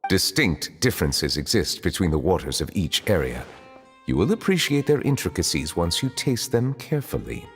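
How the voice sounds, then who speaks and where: A man voicing a calm, formal dragon who serves as a chief judge, speaking in a measured, reflective way in a video game. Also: low voice. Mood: musing. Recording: game audio with reverb and soft music.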